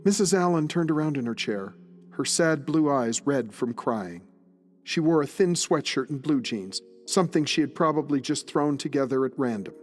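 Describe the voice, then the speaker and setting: A man narrating a murder mystery audio drama in a measured, descriptive storytelling style. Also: a little high, lively intonation, close to an everyday voice. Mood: measured, somber. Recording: long reverb, music behind.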